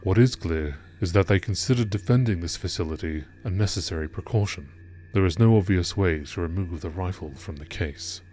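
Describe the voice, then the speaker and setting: Low-voiced man narrating over background noise. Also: fairly quick, mellow. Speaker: narrator of game lore. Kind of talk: scripted documentary-style narration.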